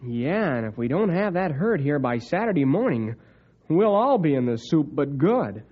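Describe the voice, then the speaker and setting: An actor playing an eager, good-natured young ranger pal who chats folksily in an old-time radio drama. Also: male voice, on the high side, big pitch swings, moderate pace. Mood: concerned. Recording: dull old radio recording, faint noise.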